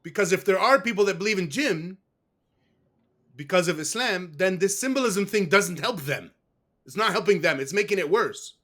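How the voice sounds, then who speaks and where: A man's voice: sits high, lively intonation, normal speed, sharp tone. A man being interviewed, telling a long personal story in a rambling, casual way. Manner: rambling, with restarts and fillers. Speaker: guest. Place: West.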